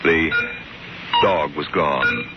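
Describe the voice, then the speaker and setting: A man narrating a vintage radio drama in a grave, theatrical style with deliberate phrasing. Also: rumbling low, lively intonation, very noisy. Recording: muffled old radio recording, loud music.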